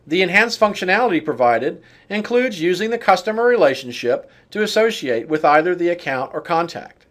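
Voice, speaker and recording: A man giving scripted instructional narration as a software trainer, in a measured, explanatory way that sets out lists item by item. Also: high-pitched, very animated.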